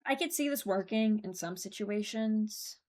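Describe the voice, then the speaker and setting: A woman's voice: medium pitch, a little slow, darkish tone. A woman hosting a video, giving casual, rambling commentary and hesitating as she thinks aloud. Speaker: video creator and host.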